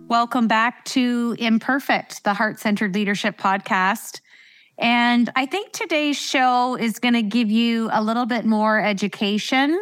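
Woman hosting a podcast over music. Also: mid-range voice. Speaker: podcast host. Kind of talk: scripted podcast introduction.

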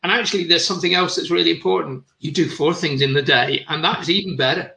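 Man, middle-aged, interview host, raised pitch, gravelly, enthusiastic. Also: quick pace, pushed and bright. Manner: enthusiastic, agreeing, repeats words. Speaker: podcast host. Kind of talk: casual interview talk.

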